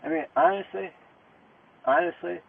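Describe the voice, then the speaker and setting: A man under arrest talking informally with police, insistent and protesting, halting and often repeating himself. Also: high voice, flat delivery, gravelly, slightly rough. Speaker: arrested murder suspect. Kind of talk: informal back-and-forth with police during arrest. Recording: noisy, tinny police audio recording.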